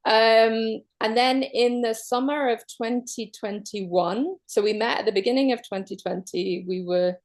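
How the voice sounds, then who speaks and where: A woman from Manchester with a Mancunian accent, a guest openly sharing her love story in a casual chat, pausing often on soft "um"s. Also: fairly high pitch. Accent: Mancunian English. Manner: open, measured, many soft "um"s.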